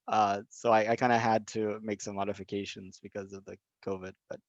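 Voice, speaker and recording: A man's voice: lowish voice, flat delivery, brisk, no echo. Hesitant man from Texas, dull audio. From Southeast Texas, USA. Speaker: interviewer running a video project. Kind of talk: casual unscripted conversation.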